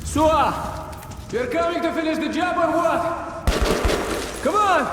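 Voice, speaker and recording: An actor playing a reckless, broken Russian soldier in a video game, goading and pleading in a Russian accent. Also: male voice, extremely high pitch, measured pace, recorded from a distance. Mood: taunting, goading. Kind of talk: video game cutscene acting. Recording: heavy echoing reverb, loud noise.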